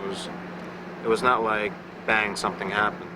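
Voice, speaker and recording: A man's voice: on the low side, little pitch movement, normal speed, over music, rough recording. A man, a rock band musician, reminiscing casually in an interview.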